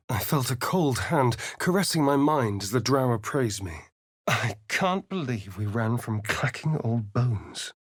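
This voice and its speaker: A man's voice: mid-range voice, husky, no room sound, clean studio sound, full character voice. A man playing a vain, sardonic vampire rogue in a video game, with an arch, theatrical, drawling delivery. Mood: exasperated, disdainful. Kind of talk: video game character voice lines.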